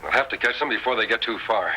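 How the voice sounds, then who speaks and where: A brisk, bright-voiced frontier hero, decisive and resolute, talks quickly in a vintage radio Western with faint music. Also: male voice, on the low side, expressive, slightly rough, heavily acted, rough recording. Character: heroic, decisive masked male Western lawman. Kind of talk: 1930s-40s Western radio acting. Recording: old-time radio recording.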